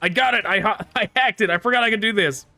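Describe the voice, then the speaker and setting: A man's voice: sits high, fast, bright, forceful tone, soft background music. A man giving casual gameplay commentary, rambling and thinking aloud as he plays. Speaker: gaming YouTuber.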